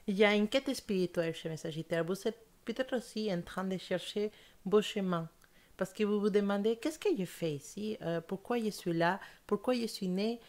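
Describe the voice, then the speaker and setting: A woman's voice: medium pitch, a little mellow. A young woman whose first language is not French, giving a monthly tarot reading in an earnest, hesitant way with many fillers and restarts. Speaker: tarot reader.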